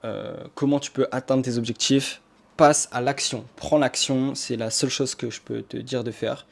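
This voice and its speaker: A man's voice: medium pitch, steady tone, moderate pace, vocal fry. A young man giving casual, rambling motivational marketing advice to camera, repeating his points with many fillers. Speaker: marketing coach.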